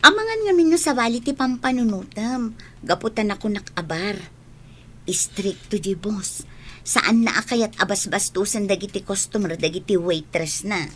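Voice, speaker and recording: A woman's voice: mid-range voice, moderate pace, a little hiss or hum, close to an everyday voice. A woman playing a friendly, persuasive young bar waitress in a scripted audio drama. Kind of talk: scripted radio-style drama.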